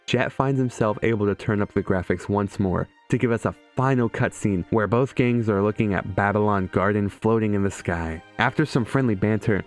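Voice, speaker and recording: A man's voice: fairly low pitch, moderate pace, hardly any pauses. A man who makes gaming videos, narrating and bantering in an irreverent, sweary, joking way. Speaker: gaming video creator and streamer. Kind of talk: scripted video narration mixed with casual stream banter. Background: music behind the voice.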